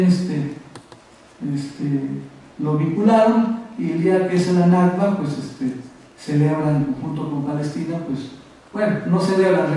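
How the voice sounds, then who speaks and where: Male activist panelist, Mexico City accent, high mellow voice, halting delivery, recorded far off in an echoey auditorium. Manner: halting, with repeated words and trailing phrases. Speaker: activist speaker on a conference panel. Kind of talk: informal conference presentation. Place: university auditorium. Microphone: distant from the speaker. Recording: echoey room, distant mic, faint noise.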